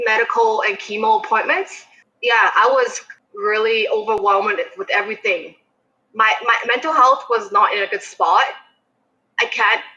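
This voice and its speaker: A woman's voice: medium pitch, sharp tone, highs cut off. A woman, a podcast guest, earnestly sharing a personal story.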